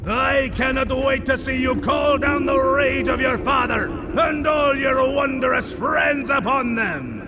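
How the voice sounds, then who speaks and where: Man acting a slow, high-voiced devoted Norse villager. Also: vocal fry, music in the background, full character voice. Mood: fervent, rousing. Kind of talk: comic book audio drama acting.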